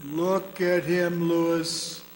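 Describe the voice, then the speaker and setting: Man acting a stern, very slow ghost, echoey. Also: on the high side, level tone. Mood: solemn, commanding. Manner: solemn, measured. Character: male ghost of murder victim, stern and implacable. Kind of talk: slow theatrical radio drama acting. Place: performing arts center stage. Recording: echoey live stage, faint noise.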